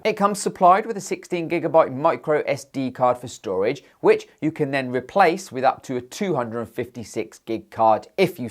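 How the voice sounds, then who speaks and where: A British man presents a product review in a lively, highly varied voice. He narrates from a script in an informal, playful way, dropping in jokey asides. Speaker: tech reviewer and channel host.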